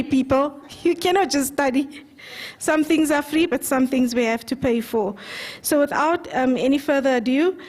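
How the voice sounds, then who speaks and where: Higher-voiced woman hosting a conference session. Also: a little mellow. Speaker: event host. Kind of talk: conference speech. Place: conference hall.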